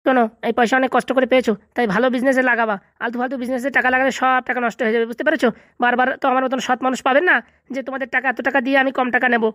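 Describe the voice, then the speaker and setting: A man's voice: extremely high pitch, little pitch movement, racing, bright, forceful tone, dry recording, studio quality. A man voicing a vengeful, bossy corrupt local politician in a comedy cartoon, boastful and insistent. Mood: bossy, scheming. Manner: bossy, boastful, repeatedly checking he is understood.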